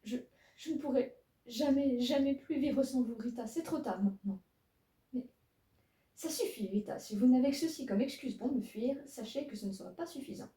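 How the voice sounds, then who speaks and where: A woman's voice: sits high, moderate pace, warm tone, voice pushed up, lightly acted. A woman voicing a flustered, smitten male wizard author who pleads breathlessly, recorded in a roomy room from a distance. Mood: pleading.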